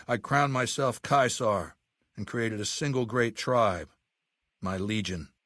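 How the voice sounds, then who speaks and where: An actor playing a ruthless middle-aged warlord dictator in a video game, with a pompous, lecturing and commanding delivery. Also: male voice, lowish voice, slow pace, a bit bright, dry recording, studio quality. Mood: proud, lecturing. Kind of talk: video game dialogue, monologue.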